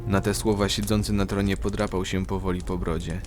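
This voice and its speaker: A male narrator with a deep voice tells a fantasy tale as scripted dramatic narration. Loud music plays continuously behind him. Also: level tone, brisk.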